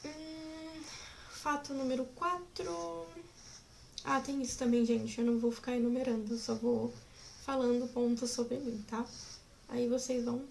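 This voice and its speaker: Young woman, twenties, chatty, giggly, rambling, higher voice, faint background hiss. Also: flat delivery, measured pace, vocal fry, a little mellow. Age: 20s. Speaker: vlogger. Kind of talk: casual chat to camera.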